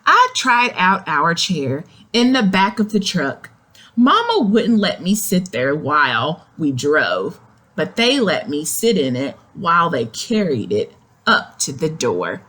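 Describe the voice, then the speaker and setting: A woman reading a picture book aloud to young children, expressive and explanatory, speaking to them directly. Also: lowish voice, slow. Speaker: children's story reader. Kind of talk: animated read-aloud of a picture book with asides to young listeners.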